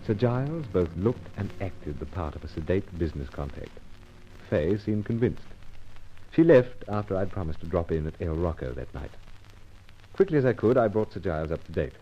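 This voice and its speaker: Suave british spy, low voice that moves around a lot, dry and wry, old radio serial. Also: male voice, animated, moderate pace, warm tone, husky. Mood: dry narrating. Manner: hesitant, polite, wry. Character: suave, dryly understated male British secret agent hero. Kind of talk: old-time radio serial acting and narration. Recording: dull lo-fi old radio recording.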